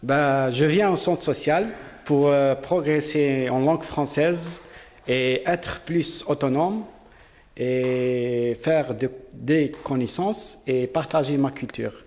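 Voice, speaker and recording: Student interviewer, male, Algerian accent, mid-pitched voice, long hall reverb. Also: slow pace, a little mellow. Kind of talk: prepared interview.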